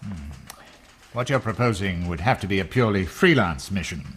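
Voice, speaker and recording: Clipped, composed British brigadier. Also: male voice, sits low, animated, moderate pace, a bit bright, husky, full character voice. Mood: measured. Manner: clipped, formal, circumspect. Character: discreet, composed British wartime intelligence brigadier.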